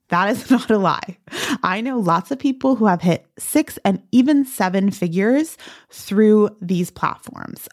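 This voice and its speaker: A woman's voice: medium pitch, darkish tone. A woman hosting a solo podcast, giving business advice in a conversational, emphatic way with casual asides. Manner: conversational, emphatic, peppered with "like" and "right?". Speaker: podcast host and branding consultant.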